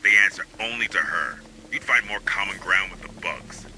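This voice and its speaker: A man's voice: low voice, normal speed, bright, forceful tone, slight background noise, poor audio. A man playing a hardened, wry military squad leader in a video game, speaking in a terse, commanding, dry way. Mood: calm, cynical. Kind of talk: video game cutscene acting.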